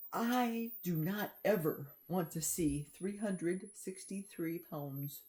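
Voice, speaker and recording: A middle-aged woman talks slowly to her viewers in a chatty, confiding vlog. Her voice sits low and mellow, with an unhurried pace. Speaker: vlogger. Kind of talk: personal diet vlog. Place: outdoors in a forest.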